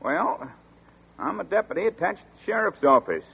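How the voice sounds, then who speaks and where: An actor playing a chatty small-town sheriff's deputy who talks in a folksy rural dialect, in 1940s radio acting. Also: male voice, high voice, sing-song, normal speed, vocal fry, breathy edge, heavily acted. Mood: gruff. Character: folksy drawling small-town male deputy, middle-aged. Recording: tinny scratchy 1940s radio broadcast.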